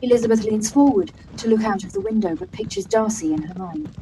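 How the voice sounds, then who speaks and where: A woman reading the narration and stage directions for a live-read radio play in a calm, even way. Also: a little high, fairly quick, creaky, a little hiss or hum, poor audio.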